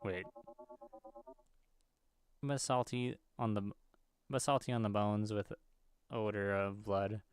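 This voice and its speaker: A man's voice: fairly low pitch, level tone, slow, long pauses, clear, resonant tone, no room sound, soft background music. A man hosting a gaming video, reading visual novel dialogue aloud and now and then stumbling over the words.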